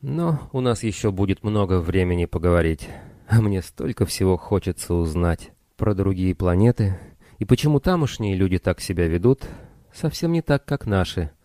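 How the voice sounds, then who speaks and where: A frank, curious young woman who pilots starships, read by a male narrator. She speaks in an earnest, matter-of-fact way, with a soft dark tone in a dry audiobook recording. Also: low-pitched, animated, moderate pace, no room sound. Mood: curious, warm.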